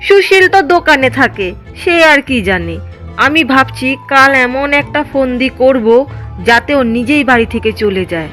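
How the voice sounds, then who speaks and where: A woman's voice: high-pitched, lively intonation, a little slow, a bit bright, soft background music. A woman playing a sly, jealous older village mother-in-law in a cartoon drama. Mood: scheming. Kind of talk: Bengali cartoon drama dialogue.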